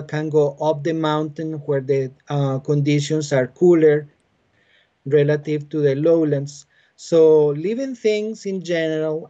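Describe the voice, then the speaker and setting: A Costa Rican man in his forties speaks English with a Spanish accent, his voice pitched a little high but soft and warm. He explains things patiently, pausing on frequent "uh"s. The sound is muffled, like a laptop mic on a video call. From Costa Rica. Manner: patient, halting, many "uh" fillers. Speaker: biologist and university teacher. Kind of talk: explanatory interview answers. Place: home room with bookshelves. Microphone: laptop webcam on a video call.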